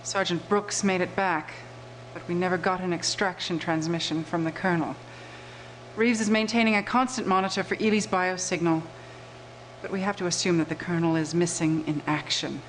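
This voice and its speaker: A woman's voice: fairly low pitch, steady tone, lightly acted. Woman acting as formal resistance commander, noisy audio. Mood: grave, official. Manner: formal, measured, matter-of-fact. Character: businesslike adult woman resistance commander briefing soldiers. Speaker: military commanding officer. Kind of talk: video game cutscene acting.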